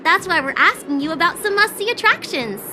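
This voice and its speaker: A woman's voice: extremely high pitch, sing-song, a little fast, over music. A woman playing a practical, slightly skeptical young tourist merchant in an anime-style video game. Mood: businesslike.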